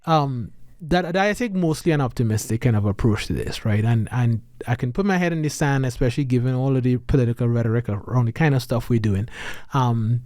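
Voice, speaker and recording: A man's voice: mid-range voice, a little fast, hardly any pauses, a little mellow, no echo, studio quality. A man with a Trinidadian accent talking in a podcast conversation, earnest and reflective, often checking in with "right?" and restarting his sentences.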